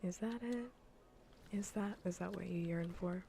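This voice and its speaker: A woman streamer chatting casually in a soft, breathy whisper and murmur during an ASMR gaming livestream. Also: on the low side, a little slow, a little hiss or hum.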